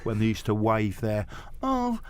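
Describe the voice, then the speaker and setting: A man's voice: lowish voice, huge pitch swings, unhurried, a little mellow, slight background noise. A man with a northern English accent, co-hosting a casual football podcast with teasing banter. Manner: teasing, mock-grand pronouncements. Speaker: podcast co-host.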